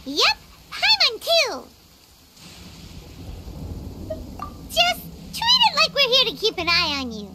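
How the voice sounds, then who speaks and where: A woman voicing a tiny, perky, childlike fairy companion in a video game, squeaky and chirpy. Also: huge pitch swings, normal speed, very noisy, exaggerated voice. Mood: confident, cheery. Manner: squeaky, chirpy, refers to self by name. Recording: loud music behind the voice.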